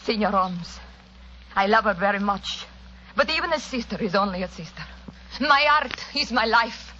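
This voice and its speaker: An actress with an Italian accent playing an imperious, highly strung opera diva in a 1940s radio drama, on an old broadcast recording. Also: female voice, animated, moderate pace, sharp tone, lo-fi sound, slight background noise. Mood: proud. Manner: melodramatic, imperious.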